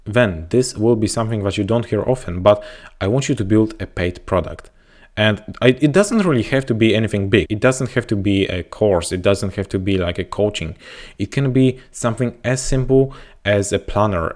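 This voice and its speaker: A man's voice: on the low side, darkish tone. A man from Poland with a Polish accent, speaking English as a second language while coaching viewers to camera in an earnest, repetitive, motivational way. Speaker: YouTube growth coach. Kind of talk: direct-to-camera advice talk. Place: indoors, talking to camera.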